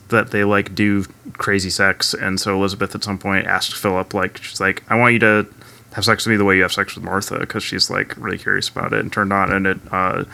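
Male podcast host, bright forceful tone, casual plot recapper, swears freely. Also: on the low side, vocal fry. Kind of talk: casual chat recapping a TV series.

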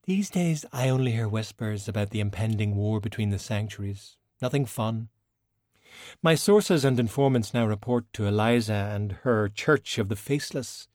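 A man's voice: lowish voice, moderate pace, darkish tone, dry recording, clean studio sound, lightly acted. A man voicing a poised, vain and sly woman mage in an audiobook, with an arch, theatrical manner. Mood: arch, curious.

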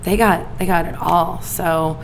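A raspy-voiced woman co-hosts a casual podcast in a low, flat tone. She chats loosely and leans on "like" and "um" between thoughts. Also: a little slow, slight background noise, rough recording. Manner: chatty, filler-heavy with lots of "like". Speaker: podcast co-host.

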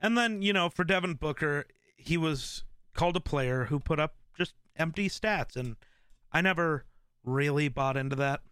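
Male streamer host, smooth and clear, casual rambling delivery with fillers. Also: on the high side, normal speed, no room sound, studio quality. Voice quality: relaxed, smooth voice. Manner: rambling, with many fillers and restarts. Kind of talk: casual live-stream chat.

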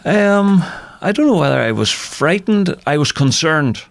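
A man's voice: on the high side, sing-song, a little slow, vocal fry, no room sound. A man with a Northern Irish accent giving personal testimony as a radio guest, speaking earnestly and repeating phrases for emphasis. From Northern Ireland. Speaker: interview guest, ministry worker. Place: radio studio.

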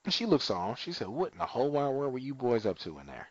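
A man chatting casually about a show, his pitch swinging widely as he retells scenes and slips into characters' lines. The recording is dry and a little dull, like a home podcast setup. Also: very animated, fast, darkish tone, slightly rough, dry recording. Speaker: TV recap podcaster. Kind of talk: casual chat, recapping an episode.

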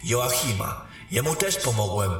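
Heard at a distance in a very echoey hall with faint music, an actor plays a young male captain in a fantasy radio drama. His voice is medium-pitched, earnest and soldierly, with a defensive edge. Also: lively intonation, measured pace, a bit bright. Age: young adult. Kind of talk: fantasy audio drama acting.

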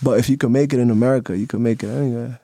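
Young man, from the Dominican Republic, casual rambling talk, laughing often, mid pitch. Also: fairly even intonation, rapid-fire, mellow, dry recording. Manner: loose, laughing, lots of fillers. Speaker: guest videographer. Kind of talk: casual radio interview chat. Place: radio studio.

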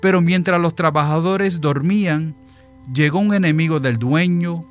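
Casting: a New York-born man of Puerto Rican descent, a deacon hosting a radio show. Slightly high voice, easygoing and chatty. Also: moderate pace, soft background music. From New York, of Puerto Rican parents. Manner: chatty, folksy, with frequent "eh" fillers. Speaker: radio program host, deacon. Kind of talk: casual radio talk and Bible reading.

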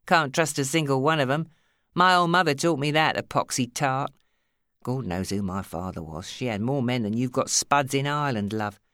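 A woman's voice: rumbling low, moderate pace, fairly bright tone, no room sound. A woman voicing a smug, bullying male club boss in an audiobook, sneering and contemptuous. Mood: self-pitying, contemptuous. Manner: sneering, contemptuous, hissing when angered. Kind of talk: audiobook character acting.

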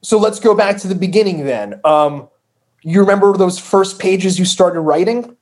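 Man, northern New Jersey accent, somewhat high pitch, casual host, fillers and restarts, video call. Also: normal speed, darkish tone. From northern New Jersey, USA. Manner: conversational, with frequent fillers and restarts. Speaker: podcast host. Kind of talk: casual interview questions.

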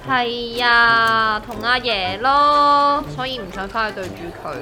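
A woman's voice: a little high, fairly even intonation, a little slow, sharp tone, soft background music. A woman with a Hong Kong Cantonese accent playing a gloomy, aggrieved young worker who whines and complains in a comedic audio drama. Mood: glum, aggrieved. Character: gloomy, aggrieved young woman worker griping about her strict grandpa.

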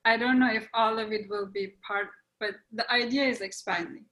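A woman playwright on a video call, talking about her play in a soft, measured way. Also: a little high, steady tone, low-quality recording. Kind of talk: casual group discussion.

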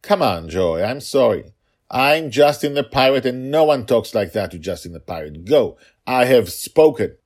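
A man voicing a dragon father who mimics his bossy young daughter in a high, insistent voice. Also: sing-song, normal speed.

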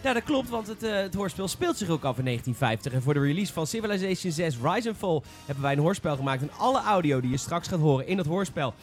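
High-pitched man hosting a podcast. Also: animated, fast talker, hardly any pauses, soft background music. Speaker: podcast host. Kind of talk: casual gaming podcast chat.

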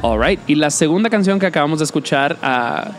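A woman's voice: low-pitched, animated, brisk, loud music behind the voice. A woman hosting a podcast who chats casually, drops English phrases into her Spanish, and restarts her sentences often. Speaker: podcast host. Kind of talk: casual chat.

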